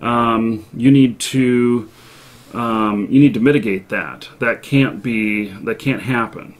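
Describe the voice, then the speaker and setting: A man hosting a live stream in an unscripted, chatty way, speaking haltingly with long pauses. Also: mid-range voice. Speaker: live show host.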